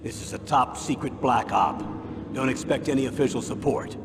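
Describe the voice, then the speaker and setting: A man playing a stern, authoritative older colonel giving a measured mission briefing in a video game. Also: mid-range voice, gravelly, music in the background, lightly acted. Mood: businesslike. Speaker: commanding officer in a game cutscene. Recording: reverberant hall, loud background noise.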